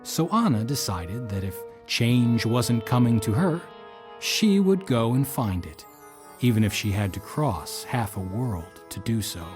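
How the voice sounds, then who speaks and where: A man playing a formal manservant and aide who narrates an audio drama in a measured storytelling way. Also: medium pitch, big pitch swings. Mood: solemn storytelling. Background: music.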